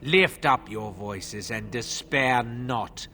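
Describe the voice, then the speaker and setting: An actor playing an older male cult priest in a fantasy game, formal and measured in his speech. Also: medium pitch, bright, forceful tone. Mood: fervent, exalted. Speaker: preacher. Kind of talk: scripted fantasy game acting.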